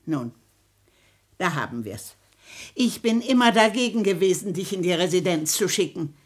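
A woman's voice: fairly low pitch, expressive, moderate pace, husky. An actress playing a fussy, bossy, doting old grandmother in a theatrical radio comedy, exclaiming and scolding. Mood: scandalised. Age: older. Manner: scolding, exclamatory, chatty, old-fashioned stage German.

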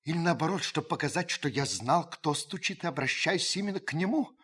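An actor playing a grave, watchful older householder who narrates a radio drama, husky and breathy, with solemn dramatic pauses. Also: male voice, a little high, expressive, a bit bright. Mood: agitated, questioning. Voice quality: husky, breathy, sinking to whispers. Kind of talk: dramatic literary narration, old radio play.

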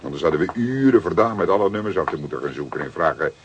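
A low-voiced middle-aged detective in a crime radio drama. He is calm, courteous and deliberate in how he talks. The recording carries clear noise and clicks. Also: dull audio. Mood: calm, intent.

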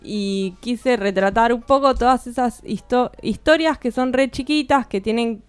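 A young woman from Bariloche speaks, calm and thoughtful as she explains her book. Her voice is smooth and clean, and the studio sound is dry and close, with faint music underneath. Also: fairly high pitch, unhurried, no echo. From Bariloche, Argentina. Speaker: guest author. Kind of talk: radio studio chat. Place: radio studio.

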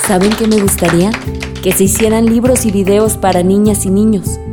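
A woman's voice: medium pitch, steady tone, normal speed, close to an everyday voice. Actress as a hopeful young woman over music. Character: hopeful, affectionate young activist expectant mother. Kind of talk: radio drama dialogue.

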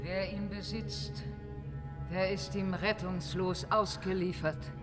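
Eine Schauspielerin spielt in einem Fantasy-Hörspiel eine ernste, allwissende Erdgöttin, feierlich und orakelhaft. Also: female voice, medium pitch, flat delivery, takes it slowly, natural voice. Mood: solemn warning. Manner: solemn, oracular. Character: grave, all-knowing earth goddess prophesying doom. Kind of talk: fantasy radio play acting. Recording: music behind the voice.

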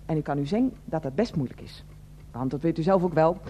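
An actress playing an older, self-righteous head of a residents' association in a radio play, wheezy, formal and repetitive. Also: female voice, sits low, animated, fast talker, dark tone, a little hiss or hum. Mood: indignant. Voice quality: wheezy, asthmatic breathing. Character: fussy, indignant older woman heading a residents' association. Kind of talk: Dutch radio play acting.

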